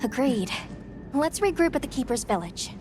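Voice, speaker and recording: A woman's voice: sits high, very animated, normal speed, music in the background. An actress playing a polite, composed young woman who handles an expedition in a game cutscene. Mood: businesslike. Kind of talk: dramatic video game cutscene acting.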